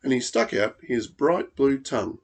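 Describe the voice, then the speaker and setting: A man reading a children's storybook aloud as an expressive narrator. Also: mid-range voice, moderate pace. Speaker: storybook reader.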